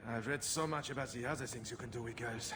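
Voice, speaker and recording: A man's voice: mid-range voice, fast talker, background noise, natural voice. An actor playing a naive, hapless runaway mage in his twenties in a video game, nervous and stammering, with boyish bluster. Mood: self-pitying. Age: 20s. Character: hapless, naive young runaway mage, would-be ladies' man.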